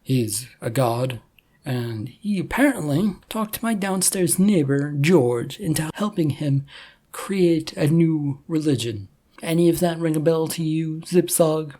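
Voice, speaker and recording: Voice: medium pitch, expressive, slow pace, mellow. A narrator voicing an anxious, exasperated man with a hesitant, sarcastic manner in a story reading. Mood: insistent. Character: exasperated, anxious everyman, reluctant prophet of a god.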